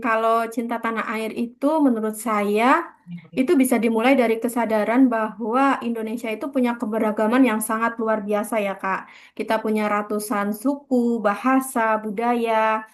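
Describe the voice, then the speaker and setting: A woman as a guest on a casual podcast, answering in a thoughtful, calm way. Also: fairly high pitch. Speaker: podcast guest, civil servant. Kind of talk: casual group podcast chat.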